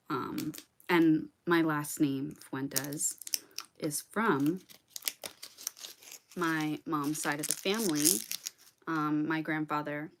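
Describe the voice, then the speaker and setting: A woman singer-songwriter chatting casually with viewers during a livestream, rambling with lots of "um"s and restarts. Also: low-pitched.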